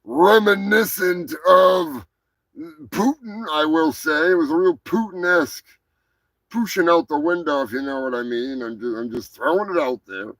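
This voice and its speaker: A man's voice: a little high, animated, normal speed, gravelly, a bit bright, no room sound, poor audio. A man hosting a live show, talking over the news in a loose, sarcastic, rambling way with lots of swearing and laughter. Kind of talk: casual profane news commentary.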